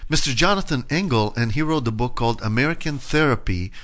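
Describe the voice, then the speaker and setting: A man's voice: medium pitch, lively intonation, fast talker, a bit bright. A man co-hosting a radio talk show, teasing his co-host and swearing a lot in casual banter.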